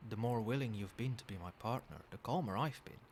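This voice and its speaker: A man playing a doting yet controlling, quietly menacing boyfriend in a soft-spoken ASMR roleplay, coaxing tenderly with pet names. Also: mid-range voice, fairly quick, close to an everyday voice. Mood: quietly threatening. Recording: steady background noise, night ambience. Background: rain and cricket sounds.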